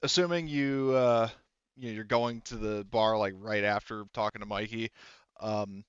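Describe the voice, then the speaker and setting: Guy game mastering, smooth clear voice, rambles casually, dry slightly dull mic. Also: on the low side, fairly even intonation, moderate pace, dry recording. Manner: rambling, loose phrasing heavy with "like". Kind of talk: casual tabletop game narration.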